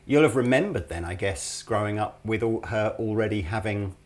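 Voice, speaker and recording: A man's voice: lowish voice, moderate pace, darkish tone. A man hosting a casual podcast interview, asking long, rambling questions full of fillers. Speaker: podcast host.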